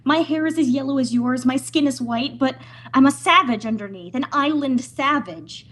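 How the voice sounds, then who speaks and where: A woman plays a young heroine of a radio melodrama, torn and anguished. Her voice is high and husky, and she pleads in breathless phrases. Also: normal speed, a bit bright. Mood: bitter anguish. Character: anguished young half-Hawaiian daughter, torn by love. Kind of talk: old-time radio melodrama acting.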